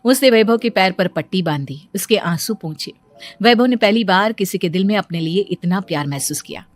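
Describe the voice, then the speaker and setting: A woman narrates a cartoon moral tale as storyteller. Her voice sits at a middle pitch, with light music running underneath the scripted reading. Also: expressive, moderate pace.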